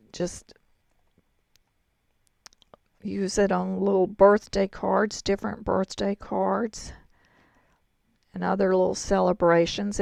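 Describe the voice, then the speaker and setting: Hoarse woman, gravelly and unhurried, chatty craft instructor, close dry sound. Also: mid-range voice, no echo. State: hoarse, throat-clearing. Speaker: card-making instructor. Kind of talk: crafting tutorial.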